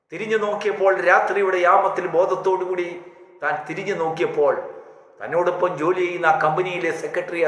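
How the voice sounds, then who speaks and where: A male evangelist with a high, bright voice delivers a devotional sermon. He speaks emphatically, repeating phrases and pausing between them. The sound rings with heavy echo. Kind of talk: devotional sermon with storytelling and exhortation. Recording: echoey reverberant hall sound.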